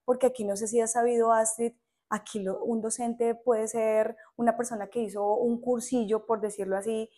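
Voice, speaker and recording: A young adult woman with a Colombian accent, a teacher chatting as a podcast guest, animated and quick to laugh. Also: a little high. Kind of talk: casual podcast interview.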